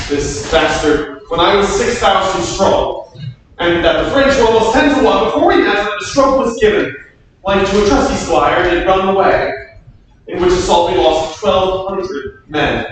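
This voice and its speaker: A proud English war captain acted by a man declaims Shakespearean verse with formal, measured loyalty. The pitch sits a little high for a man. The recording is distant, in a long-ringing hall with faint noise. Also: fairly bright tone. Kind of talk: staged reading of Shakespearean verse.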